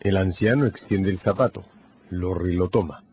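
A man narrating a radio novel in a scripted, dramatic storytelling style with measured phrasing. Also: low-pitched, darkish tone, lo-fi sound, slight background noise.

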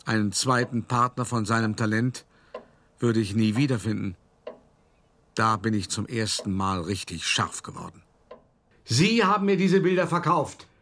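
An actor playing a self-important older art dealer who tells of his past in an expressive, articulate, wry monologue for a radio play. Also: fairly low pitch, moderate pace. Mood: sharp, threatening. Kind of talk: theatrical first-person monologue, storytelling.

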